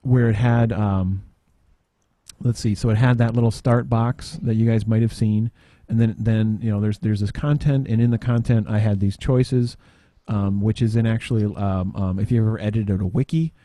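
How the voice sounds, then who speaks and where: Guy doing a casual software demo, mellow dry sound, kinda halting, thinks out loud. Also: lowish voice, fairly even intonation, dry recording. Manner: halting, tangential, thinking aloud. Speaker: podcast panelist demonstrating software.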